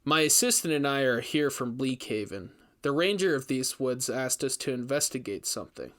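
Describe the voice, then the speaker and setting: A male investigator, voiced by a man reading aloud recounts a grim ordeal in a horror audio story. His mid-pitched delivery is measured and dramatic, with emphatic first-person storytelling. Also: animated, fairly bright tone, lightly acted. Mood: earnest, uneasy.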